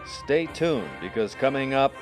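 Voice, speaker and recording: A man's voice: medium pitch, lively intonation, a little slow. A man announcing programs in a radio theater style. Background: faint brass music.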